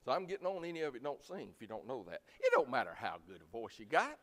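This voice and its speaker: A man's voice: mid-range voice, sing-song, fast. Preacher man in a reverberant hall. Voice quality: loud voice. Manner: folksy, plainspoken, emphatic, swinging from quiet asides to loud exhortation. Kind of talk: sermon.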